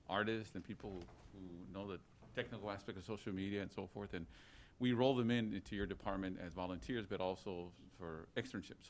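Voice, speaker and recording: A man presiding over a public meeting, making informal remarks in a halting way. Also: sits low, slight background noise. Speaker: presiding city leader. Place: city commission meeting room.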